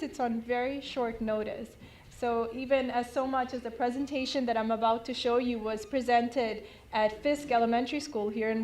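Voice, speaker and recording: A woman's voice: fairly high pitch, a little mellow. A woman in her thirties from India, with Hindi as her first language, giving an informal slideshow talk at a community center, chatty and full of fillers and restarts. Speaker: community presenter.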